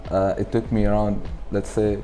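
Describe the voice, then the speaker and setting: A man's voice: lowish voice, level tone, normal speed, warm tone, soft background music. A man in his twenties from the UAE, chatting informally as an interview guest and explaining things, on a lapel mic indoors. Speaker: interview guest, clothing brand founder. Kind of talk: casual interview talk. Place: furnished indoor lounge.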